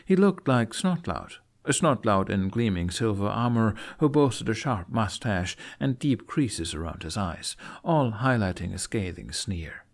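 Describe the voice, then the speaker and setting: Guy reading a fantasy story out loud, lowish voice, really lively and dramatic. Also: unhurried, few pauses. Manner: expressive, theatrical. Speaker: fan fiction narrator. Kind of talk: dramatic audiobook-style story narration.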